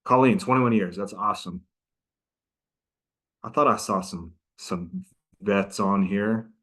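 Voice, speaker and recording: A middle-aged man with a fairly low voice gives an online training talk. He speaks in a casual, chatty way and keeps turning to his listeners. The sound comes through a video call microphone. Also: takes it slowly, a bit bright. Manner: casual, chatty, interactive with audience. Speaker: prosecutor and trainer.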